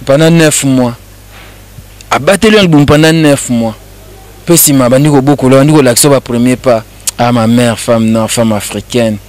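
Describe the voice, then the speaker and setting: A man's voice: medium pitch, moderate pace, darkish tone, dry recording. A man hosting a talk show, introducing his guest in a rambling, chatty way. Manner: chatty, rambling, switching languages freely. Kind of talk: casual chat show hosting.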